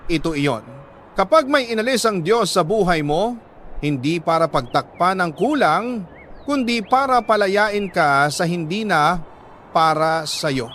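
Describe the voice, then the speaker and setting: A man with a Filipino accent reads a sentimental letter as a radio storyteller, in a measured, heartfelt, dramatic way with deliberate pauses between phrases. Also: high voice, fairly bright tone, slight background noise.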